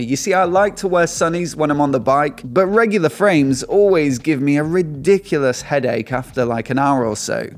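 Upbeat man reviewing motorbikes. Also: on the high side. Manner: upbeat, lively scoring delivery. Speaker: motorcycle channel host. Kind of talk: scripted video review with sponsor read. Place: small indoor studio with shelves. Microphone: desk mic on stand in front of him.

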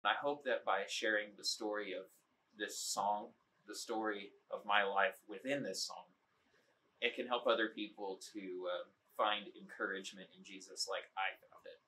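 A young man, composer of the song, earnestly and reflectively sharing his personal faith testimony on a dark stage, speaking into a stand mic through a face mask. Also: on the low side, steady tone, moderate pace, low-quality recording. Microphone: stand mic, wearing a face mask.

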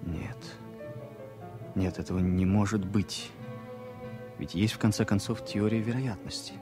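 An actor voices an anxious male airline passenger full of self-pity. His voice sits on the low side and moves in halting phrases. Music plays behind him, as in an old radio play. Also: steady tone, close to an everyday voice. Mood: brooding, anxious. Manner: halting, brooding. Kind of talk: radio play inner monologue.

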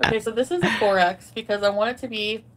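A woman's voice: fairly high pitch, quick pace, a bit bright. A woman in her thirties doing a casual clothing haul at home, rambling and giggly, often joking at her own expense. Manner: rambling, giggly, self-deprecating. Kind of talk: casual haul vlog chat. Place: indoor bedroom at home.

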